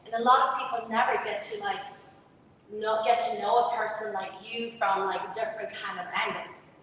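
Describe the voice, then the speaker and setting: A woman hosting an interview, chatting and telling stories in an animated way. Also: mid-range voice, normal speed. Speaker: podcast host, interviewer. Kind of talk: casual interview conversation. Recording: roomy hall, distant mic, faint noise.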